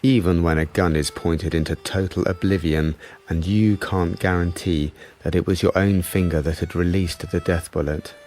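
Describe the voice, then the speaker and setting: An actor playing a sombre, reflective narrator of a war drama, speaking in a solemn, measured way. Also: male voice, low voice, steady tone, a little mellow. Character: sombre, reflective narrator of WW1 soldiers' suffering.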